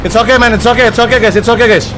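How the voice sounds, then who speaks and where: A young Indonesian man streams live game commentary in casual slang. His voice sits high and moves very fast, excitably repeating short phrases, with faint music behind him. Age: young adult. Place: indoor streaming setup. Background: music in the background.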